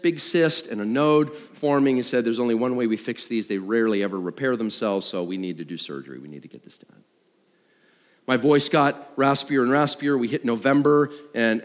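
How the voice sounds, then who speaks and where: Man giving testimony in a reverberant hall. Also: mid-range voice. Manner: animated storyteller, acting out dialogue and thoughts; conversational, self-deprecating. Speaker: worship leader. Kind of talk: personal testimony told to a congregation. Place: large room, speaking to an audience. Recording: live hall with long reverb.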